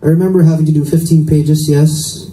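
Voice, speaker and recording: A man's voice: a little high, fairly even intonation, a little fast, warm tone, natural voice. A man reading the part of a stiff, guarded, arrogant young student in a romance story, curt and formal. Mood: distant, curt. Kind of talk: dramatic reading of a romance novel. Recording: echoey room, distant mic, faint noise.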